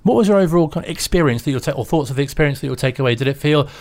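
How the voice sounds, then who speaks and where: A man's voice: fairly high pitch, lively intonation, very fast, a little mellow. A man hosting a studio show, asking long, rambling questions. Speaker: show host.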